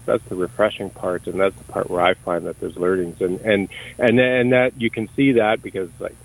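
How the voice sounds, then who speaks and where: A man's voice: low voice, fairly even intonation, moderate pace, gravelly, rough recording. A middle-aged man from Canada, speaking as an interview guest in a thoughtful, searching way with many restarts and repeated words. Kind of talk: conversational interview.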